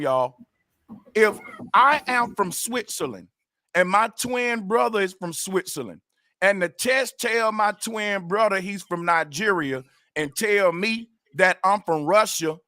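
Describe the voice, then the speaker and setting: A man's voice: high-pitched, lively intonation, measured pace, sharp tone, no echo, studio quality. A man arguing insistently in a heated debate, talking over others and swearing as he presses his point.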